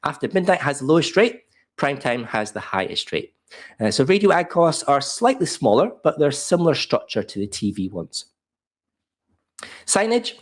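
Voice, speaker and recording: An Australian man talks through a beginners' webinar while fighting a cold. His voice is croaky and slightly muffled. He keeps it chatty and informal, with frequent ums and small stumbles. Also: fairly high pitch, sing-song, moderate pace. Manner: chatty, self-deprecating, frequent ums and uhs. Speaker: webinar presenter.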